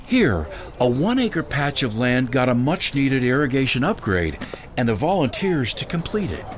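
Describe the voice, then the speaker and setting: A man narrating a news report with a lively announcer delivery. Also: medium pitch, normal speed, crowd noise. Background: music bed.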